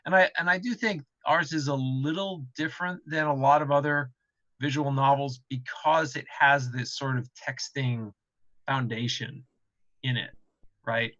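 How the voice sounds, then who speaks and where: Man, bright forceful voice, casual explainer, thinks aloud, game developer panelist, slightly lo-fi call sound. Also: mid-range voice, steady tone, unhurried.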